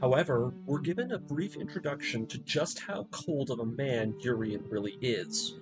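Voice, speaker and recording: A man reading a scripted story recap as a video narrator, in a dramatic, deliberate storytelling manner. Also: mid-range voice. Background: background music.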